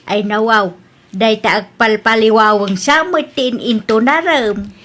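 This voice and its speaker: A woman's voice: on the high side, unhurried, fairly bright tone. A woman acting a nagging, judgmental middle-aged aunt in an Ilocano audio drama.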